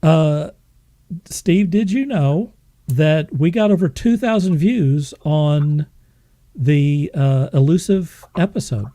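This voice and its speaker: A man's voice: fairly high pitch, slow pace, mellow, no echo. A man hosting a podcast in casual, chatty banter. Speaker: podcast host.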